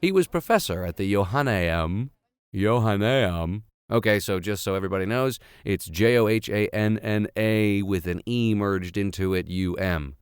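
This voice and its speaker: A man reading classic literature aloud in an expressive, theatrical and playful way. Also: on the low side, moderate pace, clear, resonant tone, no room sound, studio quality. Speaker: audiobook reader. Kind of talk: expressive narration of classic literature with casual asides.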